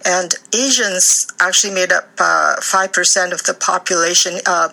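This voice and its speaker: A woman from Taiwan speaks as a guest in a radio studio, slightly low in pitch and bright in tone. Gravelly fry runs through her measured answers, which are full of ums and uhs. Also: fairly even intonation, poor audio. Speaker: author, interview guest.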